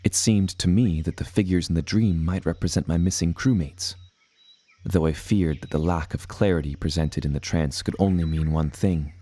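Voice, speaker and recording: An actor playing a reflective seafaring adventurer, narrating his tale in deliberate storybook phrasing for an audio drama. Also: deep voice, warm tone. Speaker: first-person narrator.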